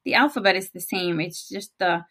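A woman's voice: on the low side, bright, forceful tone. A Norwegian woman with a Norwegian accent, co-hosting a podcast in casual chat, giggly and talkative. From Norway. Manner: chatty and giggly, talks fast. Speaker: podcast co-host.

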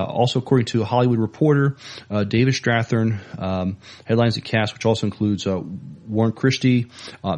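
A man's voice: fairly low pitch, a little mellow. A man co-hosting a podcast, reading entertainment news and chatting in a hesitant, agreeable way. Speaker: podcast co-host.

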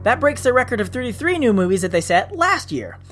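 A man's voice: extremely high pitch, very animated, fairly quick, fairly bright tone, faint music behind. A man hosting a podcast, reading listener feedback with jokey, self-mocking asides. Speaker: podcast host. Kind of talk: scripted podcast segments.

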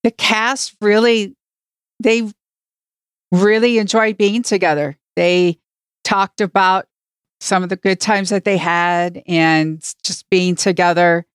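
A woman's voice: medium pitch, fairly even intonation, slow pace, smooth, no room sound, studio quality. A woman co-hosting a podcast, talking in a casual discussion style. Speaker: podcast co-host.

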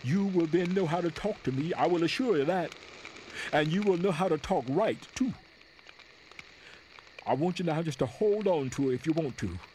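Male, acting a proud soldier father in a historical stage reading; emphatic, righteous oratory, fairly high voice, some background noise. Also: animated, normal speed, long pauses, a little mellow, natural voice, low-quality recording. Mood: defiant, threatening. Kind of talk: dramatic reading of a historical letter.

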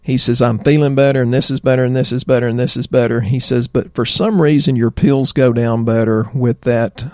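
A middle-aged man with a Southern American accent, a doctor chatting in a folksy, rambling way about health, his voice slightly hoarse. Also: medium pitch, steady tone, fairly quick, few pauses, darkish tone, dry recording, studio quality. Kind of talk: health talk show chat.

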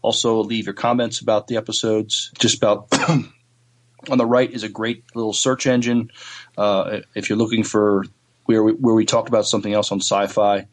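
Casual male co-host, slightly low voice. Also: flat delivery, moderate pace. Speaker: podcast co-host. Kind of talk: casual podcast talk.

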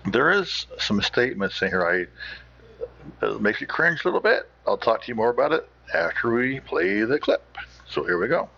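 An American man giving informal explanatory commentary as a host, halting as he searches for words. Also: mid-range voice, big pitch swings, vocal fry, sharp tone. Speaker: host of an old-time radio show. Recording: slightly dull, lo-fi.

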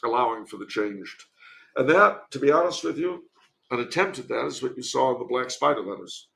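Gravelly, creaky man giving historical commentary. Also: mid-range voice, lively intonation, pushed and bright. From United States. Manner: discursive, erudite, halting delivery. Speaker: podcast guest, author and commentator.

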